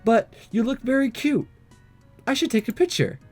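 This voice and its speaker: Man putting on a high, bubbly teasing schoolgirl. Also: expressive, moderate pace, darkish tone, no echo, soft background music. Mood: bubbly, coaxing.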